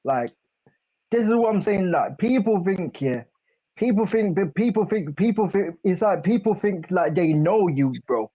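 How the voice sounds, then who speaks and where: A man's voice: sits high, lively intonation, normal speed, breathy edge. A man on a video call, rambling and repeating himself in casual chat. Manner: rambling, repeats himself, lots of restarts and bro. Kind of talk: casual chat on a live stream. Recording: dull lo-fi call audio.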